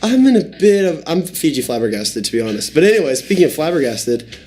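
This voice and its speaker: Young man, excitable comic storyteller, rattles along quickly on a slightly high voice, mock-serious conspiracy-spinning tone in a relaxed podcast chat. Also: a crowd in the background. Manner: excitable, tells absurd mock-serious tales. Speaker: podcast co-host.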